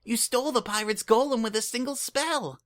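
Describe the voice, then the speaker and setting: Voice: medium pitch, normal speed, voice pushed up, natural voice. A reader voicing a small young female changeling child who speaks formally, calling herself "this one", earnest and eager in an audiobook. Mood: excited.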